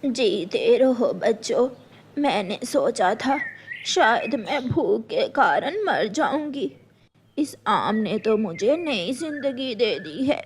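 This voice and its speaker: A woman voicing a frail, motherly old female crow in a cartoon, pleading in drawn-out phrases. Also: fairly high pitch, lively intonation. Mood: grateful. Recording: clearly noisy background.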